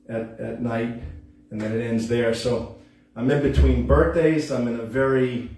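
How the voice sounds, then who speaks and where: A man's voice: mid-range voice, a little mellow. Rambling man in his fifties, echoey room. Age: 50s. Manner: earnest, halting, with many ums and restarts. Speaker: host of a home music show. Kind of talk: rambling casual monologue. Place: room at home, at a piano. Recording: echoey room, mic at a distance.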